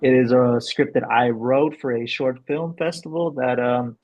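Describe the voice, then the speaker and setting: A man's voice: mid-range voice, moderate pace, darkish tone. A man hosting a casual interview as a filmmaker, on dull, lo-fi audio. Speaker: host, filmmaker.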